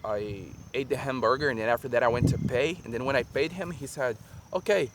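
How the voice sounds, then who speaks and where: Brazilian man chatting outdoors in a park. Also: mid-range voice. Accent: Brazilian Portuguese. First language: Portuguese. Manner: relaxed, halting, many fillers and restarts. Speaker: vlogger. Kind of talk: casual chat to camera. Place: sunny park by a lagoon, outdoors. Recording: faint park and traffic noise outdoors.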